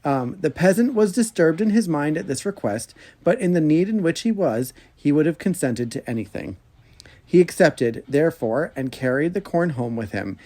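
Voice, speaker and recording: Expressive man reading a folk tale aloud. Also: fairly high pitch, normal speed. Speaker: podcast host reading fairy tales aloud.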